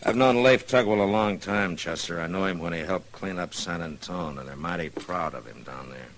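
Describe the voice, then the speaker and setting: An American man playing a gruff, steady middle-aged western marshal in a radio drama, talking in a dry, wry way. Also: sits low, moderate pace. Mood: firm. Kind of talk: old-time radio western acting. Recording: noisy vintage radio recording.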